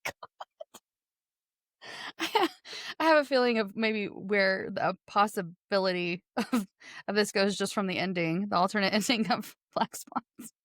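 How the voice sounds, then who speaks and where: A woman's voice: medium pitch, unhurried, fairly bright tone, dry recording. Young Texan woman, podcast host, bubbly and giggly, dry close sound. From Texas. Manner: bubbly, laughs often. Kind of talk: casual interview chat.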